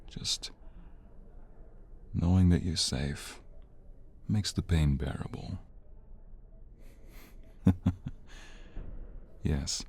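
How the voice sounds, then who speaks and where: Man performing as injured young mobster, very deep husky voice, slow, tender, protective, intimate ASMR roleplay. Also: darkish tone, full character voice. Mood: tender, soothing. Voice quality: gravelly, husky. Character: injured mobster, devoted anxious lover and expectant father.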